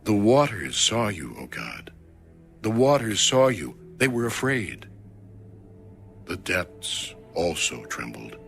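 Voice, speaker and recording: A man's voice: fairly low pitch, wildly swooping pitch, slow, vocal fry, a bit bright, faint music behind. A man giving a solemn, reverent, declamatory reading of scripture as an audio Bible narrator.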